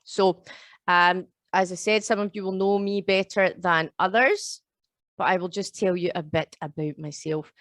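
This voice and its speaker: Low-voiced woman with a Glasgow accent. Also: steady tone, normal speed, fairly bright tone, no room sound, clean studio sound. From Scotland. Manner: chatty, keeps checking in with "okay?" and "all right?". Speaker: yoga teacher trainer. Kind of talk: live online training session. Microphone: video call.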